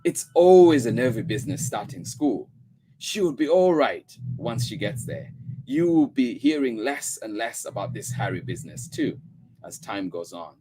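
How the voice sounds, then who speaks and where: A man voicing a calm, practical husband who speaks firmly while reading a story aloud. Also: mid-range voice, normal speed, a little hiss or hum, voice pushed up, low-quality recording. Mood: reassuring.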